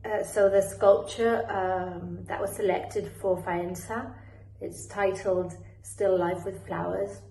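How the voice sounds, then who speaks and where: A woman with a British accent, raised in Spain, presenting her work in a thoughtful, measured recorded message with small restarts. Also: medium pitch, little pitch movement, warm tone, echoey room, slight background noise, rough recording. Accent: British English.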